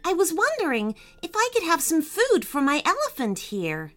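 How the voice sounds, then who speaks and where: A kind and spirited young girl from a children's story , put on by a woman. Her voice is high, bright and lively, full of determination. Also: unhurried, exaggerated voice. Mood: bright, hopeful.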